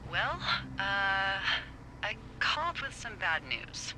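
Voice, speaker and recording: A woman's voice: mid-range voice, sing-song, takes it slowly. A woman playing a wry, teasing fire lookout supervisor in a video game, talking playfully over a walkie-talkie. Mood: serious, guarded. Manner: playful, sardonic. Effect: walkie-talkie radio. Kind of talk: naturalistic video game voice acting. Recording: radio-filtered voice with clear background noise.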